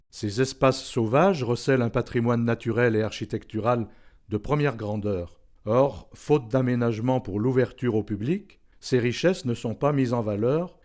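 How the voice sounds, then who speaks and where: Mid-pitched man reading formal narration. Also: darkish tone. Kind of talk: formal scripted narration, read aloud.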